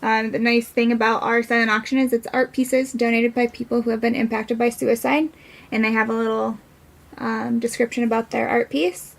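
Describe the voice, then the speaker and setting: A woman with a fairly high voice talks as a podcast guest from a non-profit. She explains things casually and conversationally. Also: level tone, moderate pace, creaky.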